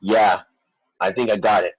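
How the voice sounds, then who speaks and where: A man's voice: on the low side, steady tone, normal speed, husky, low-quality recording. A man playing a weary, doubting superhero in a script table read. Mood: strained doubt.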